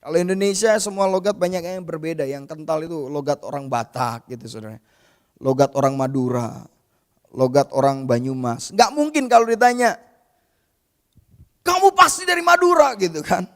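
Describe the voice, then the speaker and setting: A man's voice: on the high side, expressive, normal speed, a bit bright. A male pastor preaching a sermon in a conversational way, often calling his listeners "brother" and acting out the people in the story. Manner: addresses listeners as "saudara", retells scripture with quoted voices; conversational and informal, with casual asides.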